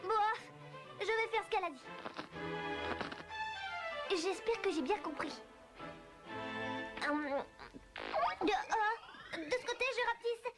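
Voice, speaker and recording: A woman voicing a polite, bewildered little girl in an animated fairy tale, hesitant and earnest as if talking to herself. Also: very high-pitched, slow pace, pauses often, over loud music, exaggerated voice, low-quality recording. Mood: musing. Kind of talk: French animated film dubbing.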